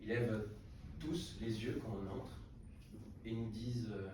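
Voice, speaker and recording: A man reading a literary novel aloud at a book prize event, unhurried and with frequent hesitations and restarts. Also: on the low side. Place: salon of a literary hotel. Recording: echoey room, distant mic, background noise.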